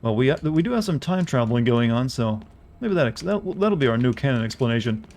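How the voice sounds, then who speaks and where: A man streaming a game, chatting wryly with joking asides, his voice a bit raspy. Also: mid-range voice, sing-song, fast talker, darkish tone. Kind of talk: live gameplay commentary. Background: faint game music.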